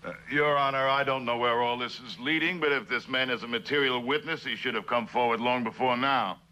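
An actor playing a shrewd, skeptical middle-aged district attorney in a 1960s TV drama, speaking formally and pointedly. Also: male voice, mid-range voice, fairly even intonation, brisk, pushed and bright, dull audio, close to an everyday voice. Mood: objecting. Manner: formal, probing, pointed questioning. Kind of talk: 1960s television courtroom drama acting.

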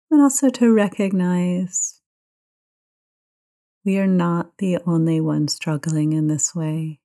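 A woman guides a meditation in a low, soft-toned and mellow voice. She takes her time, speaking very slowly. Her manner is gentle and soothing throughout. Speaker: meditation teacher.

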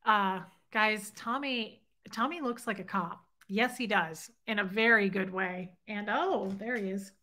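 A woman's voice: mid-range voice, measured pace, few pauses, bright, forceful tone. A woman in her thirties hosting a casual livestream from her living room, teasing and flirty as she reads viewer comments to a webcam. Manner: playful, teasing, flirty banter. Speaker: livestream host. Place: home living room, indoors. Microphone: webcam at desk.

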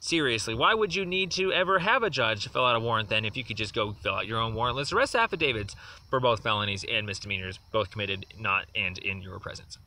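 A man's voice: on the low side, lively intonation, fast, bright, forceful tone. A man leaving a long voicemail complaint, insistent and aggrieved, repeating himself as he builds his case. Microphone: phone. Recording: distant voice with faint noise on a phone voicemail.

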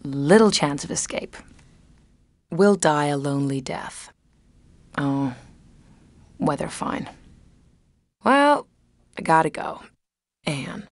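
A stranded woman talking to herself in a game drama. Her low voice moves slowly, wry and deadpan, in a very dry recording. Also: no echo. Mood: wry, mock-tragic.

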